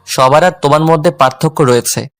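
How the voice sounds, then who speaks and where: A man's voice: a little high, a little fast, close to an everyday voice. A man playing a jealous, teasing young husband in a dramatized romantic audio story. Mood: jealous, hurt. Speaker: narrator and male lead of an audio love story.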